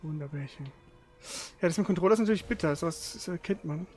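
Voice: medium pitch, quick pace, darkish tone. A streamer giving casual live commentary on a video game, thinking aloud and asking questions while playing. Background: game music.

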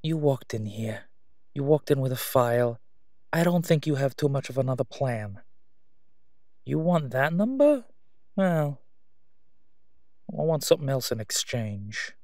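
A man acting a smug, sleazy crime boss in an audio roleplay, oily and taunting, with drawn-out, stalling phrases. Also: mid-range voice, lively intonation, darkish tone, dry recording, studio quality. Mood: slyly coaxing. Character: sleazy, menacing Gotham crime boss, a man, smugly haggling.

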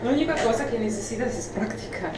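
A woman's voice: on the high side, animated, quick pace, very noisy. A young Russian woman with a Russian accent, speaking Spanish as a second language, hesitant and searching for words, picked up off to the side by a handheld camera. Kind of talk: casual chat while walking. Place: outdoors in a city and in a shopping arcade.

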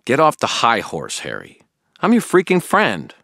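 Man performing a young male friend, raised pitch, earnest, exasperated, blunt, audiobook dialogue, dry sound. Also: wildly swooping pitch, moderate pace, vocal fry, pushed and bright, dry recording, full character voice. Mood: exasperated, heated. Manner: emphatic, blunt, informal.

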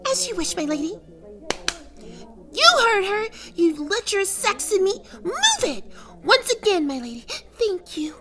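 A woman voicing an eager, fawning female imp servant in a very high, excitable voice. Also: unhurried, a crowd in the background, full character voice. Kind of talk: ASMR roleplay audio drama.

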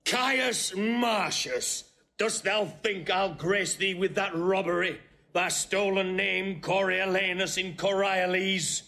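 Slow, high-voiced vengeful general. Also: male voice, fairly even intonation, vocal fry, pushed and bright, exaggerated voice. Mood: scornful, accusing. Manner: declamatory, measured, oratorical. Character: vengeful Volscian general, bitter, taunting male warrior rival. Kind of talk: classical Shakespearean stage acting. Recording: faint noise, restored old recording.

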